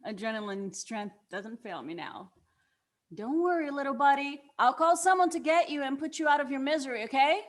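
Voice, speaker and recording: A woman's voice: sits high, animated, normal speed. A woman playing a plucky, wry young bar worker in a scripted drama table read. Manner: wry, sweary.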